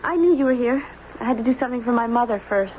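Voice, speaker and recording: An actress playing a breezy, enigmatic teenage girl in an old radio drama, with a clear young voice and an airy, direct manner. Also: a little high, animated, fast, a little mellow, lightly acted. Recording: noisy, dull old radio broadcast recording.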